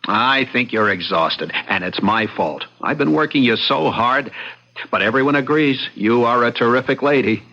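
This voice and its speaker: An actor playing an earnest, unsuspecting husband in an old-time radio drama, speaking emphatically with a husky edge. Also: male voice, medium pitch, moderate pace, bright, forceful tone. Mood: concerned. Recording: dull vintage radio recording.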